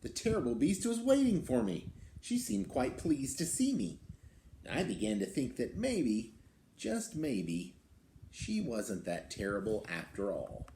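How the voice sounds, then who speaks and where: A man's voice: mid-range voice, wildly swooping pitch, unhurried, dark tone. Man voicing an indignant, swooping little wild beast. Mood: wistful. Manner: theatrical, aggrieved storytelling. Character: indignant small wild tree-dwelling beast telling its tale. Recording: roomy echo, some background noise.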